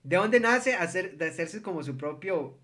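A man's voice: high voice, animated, normal speed, bright, forceful tone. A young man with a Costa Rican accent hosting a casual group chat, laid-back and slangy with lots of filler words. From Costa Rica.

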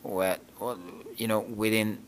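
A man's voice: lowish voice, level tone, unhurried, slight background noise. A man, an English speaker, answering interview questions hesitantly, with many fillers and restarts. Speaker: interview guest, team manager. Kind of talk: casual interview talk.

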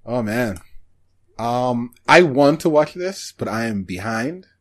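A man co-hosting a podcast, chatting casually and thinking aloud in halting bursts about anime shows. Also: mid-range voice, expressive, a bit bright. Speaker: podcast co-host.

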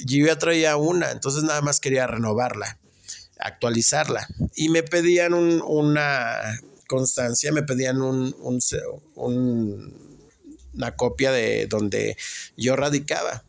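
Mexican man with a creaky voice. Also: medium pitch. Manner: conversational, acts out remembered exchanges. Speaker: speaker sharing personal experience. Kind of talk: casual personal monologue.

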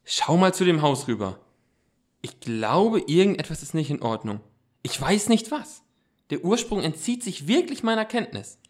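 A man's voice: a little high, sing-song, normal speed, pitched above the performer's own voice. A man playing an earnest, pedantic teenage boy detective with formal, precise wording in an amateur radio play.

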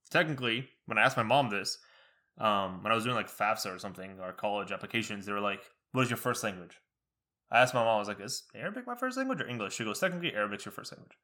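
A man's voice: on the low side, sharp tone. A young man in casual conversation, rambling with frequent restarts and laughing at himself. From United States. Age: young adult. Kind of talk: casual chat.

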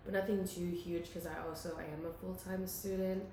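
A woman's voice: fairly low pitch, flat delivery, normal speed, mellow. A woman in her twenties from the Philippines, chatting casually as a guest and filling her talk with "like.". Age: 20s. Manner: chatty, many "like" fillers, laughs often. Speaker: guest, student and local model. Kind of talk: casual chat. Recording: very echoey room, faint hiss.

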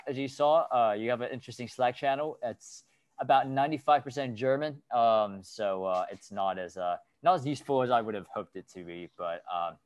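Male podcast host, low smooth voice, dry sound, casual and halting, lots of fillers. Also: dry recording. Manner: halting, many fillers and restarts. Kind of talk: casual interview chat.

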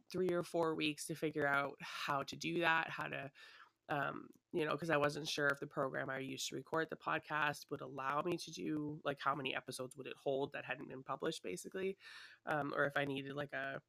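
A woman's voice: low-pitched, creaky, pushed and bright, dry recording. Chatty, slightly sniffly young woman. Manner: chatty and rambling, many fillers, laughs lightly. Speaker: podcast host. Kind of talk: casual solo podcast chat. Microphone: her own desktop microphone.

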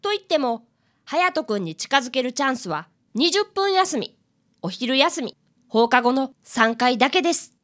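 A woman's voice: high voice, very animated, normal speed. A woman playing an earnest, polite fifth-grade boy apprentice detective in a radio drama, easily flustered. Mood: determined. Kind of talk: radio drama acting with first-person narration.

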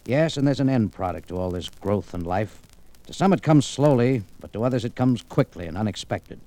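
A man as a wry, animated hardboiled American adventurer. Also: lowish voice, fairly quick, close to an everyday voice. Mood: wry narration. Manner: terse, world-weary, wry. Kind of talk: 1940s radio noir narration and acting.

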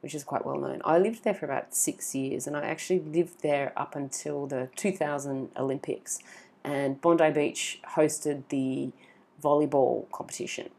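A woman's voice: deep voice, steady tone, normal speed, gravelly, a little mellow. An Australian woman in her forties, chatting as an interview guest, rambling with lots of fillers and restarts. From Sydney, Australia. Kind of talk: casual interview.

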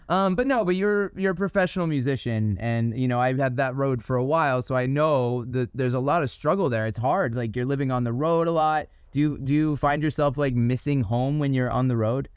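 A man's voice: medium pitch, normal speed, clean tone, dry recording, dull audio. A man hosting a podcast interview in an upbeat, chatty way, laughing often and using lots of fillers. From Ohio. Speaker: podcast host. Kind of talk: casual interview.

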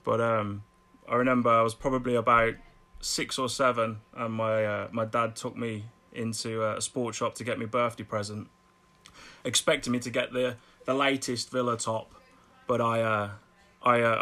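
Bright-voiced Brummie man chatting. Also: mid-range voice, a little fast. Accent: Birmingham English. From Birmingham, England. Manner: chatty, laughs often, repeats words when excited. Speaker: guest artist. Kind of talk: casual podcast chat.

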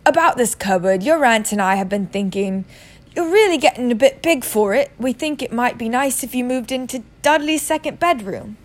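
A woman's voice: mid-range voice, moderate pace, lightly acted. A woman voicing a bad-tempered, bullying middle-aged suburban uncle in a storybook, with a curt, barking delivery. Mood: forced niceness.

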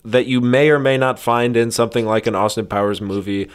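A man's voice: medium pitch, steady tone, a little fast, clear, resonant tone. A man hosting a comedy podcast in casual, bantering talk. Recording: faint background noise, clicks.

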